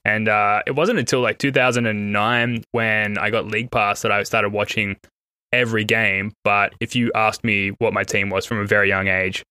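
An Australian man hosts a casual podcast and talks in a chatty, self-deprecating way, with a faint American tinge to his accent. His voice is bright and forward. The recording is dry, with no room sound. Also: lowish voice, little pitch movement, moderate pace, smooth, no echo. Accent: Australian with a slight American tinge. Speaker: podcast host.

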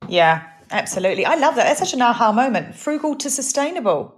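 A woman's voice: a little high, big pitch swings, fast talker. A middle-aged woman from New Zealand co-hosting a podcast in casual chat, with a New Zealand accent worn down by years in England; she laughs often and pokes fun at herself. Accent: New Zealand, softened by English. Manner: laughs often, chatty and self-mocking. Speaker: podcast co-host.

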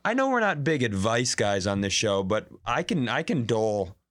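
A man's voice: medium pitch, normal speed. A man co-hosting a comedy podcast in casual, profane, joking chat, speaking into a room microphone. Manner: profane, animated banter. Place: studio room.

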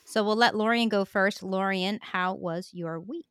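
A woman's voice: medium pitch, a bit bright, clear, resonant tone, no room sound, studio quality. A woman co-hosting a podcast, making wry jokes and steering the conversation. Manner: wry, quick to joke. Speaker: podcast co-host. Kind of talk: casual podcast chat.

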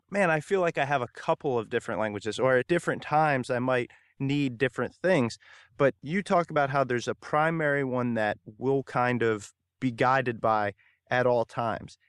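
Young guy hosting a podcast, smooth clean voice, chill and thoughtful, super dry. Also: mid-range voice, a bit bright, dry recording. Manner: casual, thoughtful, personal asides. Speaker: podcast host. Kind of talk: interview questions.

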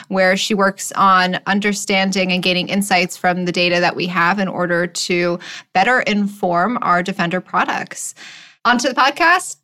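A woman co-hosting a podcast, measured and a little wry as she talks and introduces guests. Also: mid-range voice, sharp tone. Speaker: podcast co-host. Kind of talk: casual chat and read intro.

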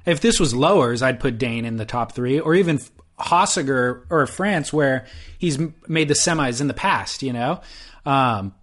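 A man with a medium-pitched voice chats casually as co-host of a sports podcast. His delivery is slangy and conversational, with blunt, opinionated takes. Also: animated, normal speed, fairly bright tone. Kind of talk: casual opinionated chat about pro surfing.

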